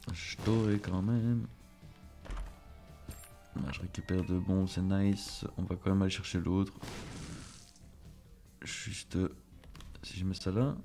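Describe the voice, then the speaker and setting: A man with a fairly low voice chats his way through a video game run, joking at his own expense and muttering curses when things go wrong. Faint game music plays behind him. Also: gravelly, mellow. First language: French. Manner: chatty, self-mocking, swears under breath. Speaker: gaming streamer. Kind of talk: casual let's play commentary.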